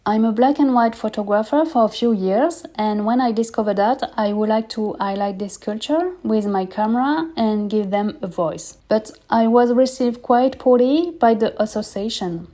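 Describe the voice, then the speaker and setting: A woman's voice: fairly high pitch, moderate pace, hardly any pauses, dark tone. A French woman in her thirties hosting a personal podcast, speaking candid, earnest English as a second language with small grammar slips. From France. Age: 30s. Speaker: podcast host.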